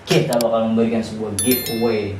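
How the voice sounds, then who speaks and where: A man's voice: lowish voice, level tone, brisk. Echoey, distant male podcast co-host. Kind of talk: casual podcast chat. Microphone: cheap distant microphone. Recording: roomy echo, distant mic, faint noise.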